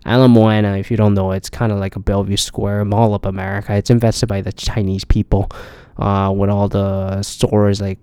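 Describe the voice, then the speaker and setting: Man, gravelly low voice, mellow, tired, rambling and swearing, dry close mic. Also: little pitch movement, normal speed, no room sound. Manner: rambling, profane, restarts often. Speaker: podcast host. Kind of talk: casual solo travel chat.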